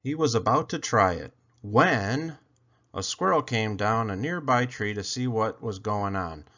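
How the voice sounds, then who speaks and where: A man's voice: on the low side, lively intonation, unhurried, a bit bright, dull audio. A man reading a children's story aloud expressively, leaning dramatically on key words. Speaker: storybook reader.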